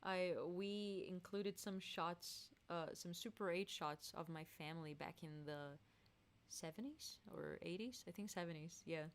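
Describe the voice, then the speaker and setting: A woman's voice: lowish voice, measured pace. A woman singer hosting a casual live stream, reading chat aloud and laughing often.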